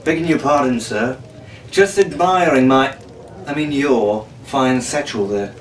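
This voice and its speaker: A man's voice: mid-range voice, a little slow, close to an everyday voice. A man voicing a cheeky young male pirate in a storybook, mock-polite, sly and swaggering. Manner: extremely polite, sly, boastful. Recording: roomy, distant mic, faint noise.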